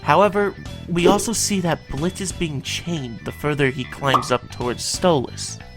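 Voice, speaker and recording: A woman's voice: deep voice, unhurried, faint music behind. A woman co-hosting a fan recap, giving semi-scripted episode commentary in an emphatic, theatrical way.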